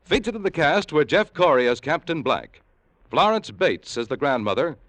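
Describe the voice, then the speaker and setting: A man's voice: fairly high pitch, sing-song, moderate pace, a bit bright, no echo. A man with an American accent, a polished, emphatic announcer in mid-century radio style. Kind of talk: 1950s radio announcing and sponsor read.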